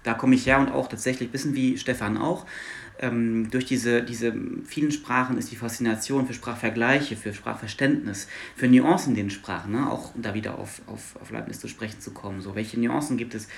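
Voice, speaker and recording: A man's voice: mid-range voice, fairly even intonation, a little fast, vocal fry. Luxembourgish-native man explaining fluently. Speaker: linguist guest. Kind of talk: academic interview talk.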